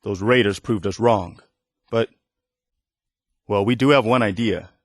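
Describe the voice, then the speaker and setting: An actor playing an earnest, loyal militia soldier who protects settlers, speaking plainly as a video game companion. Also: male voice, lowish voice, a little slow, dry recording, studio quality. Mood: earnest, explaining.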